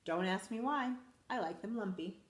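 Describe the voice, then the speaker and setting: A school principal, a woman, reading a picture book aloud to children in a lively, expressive way. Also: medium pitch, normal speed, echoey room. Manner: animated, expressive storytelling to children.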